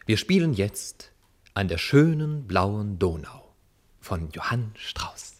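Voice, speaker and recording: Radio play announcer: obedient, hesitant, slow delivery with expressive pitch movement. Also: male voice, exaggerated voice. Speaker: radio drama actor.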